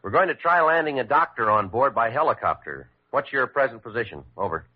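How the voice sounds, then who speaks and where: An actor playing a level-headed American Coast Guard officer in a vintage radio drama, dry, clipped and official. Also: male voice, mid-range voice, animated, brisk, slightly rough. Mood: businesslike. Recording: tinny, band-limited old radio recording.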